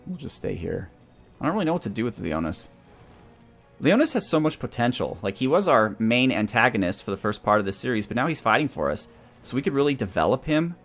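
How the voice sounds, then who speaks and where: A man's voice: medium pitch, big pitch swings, a little fast, a bit bright, faint music behind. A man giving casual gameplay commentary as a let's play host, thinking aloud through his moves.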